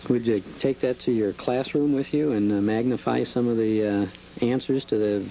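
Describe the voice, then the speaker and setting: A man's voice: medium pitch, fairly even intonation, normal speed, mellow, poor audio. A middle-aged man hosting a casual interview, chatty and jokey, asking leading questions. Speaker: show host. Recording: faint hum, 1980s cable TV audio.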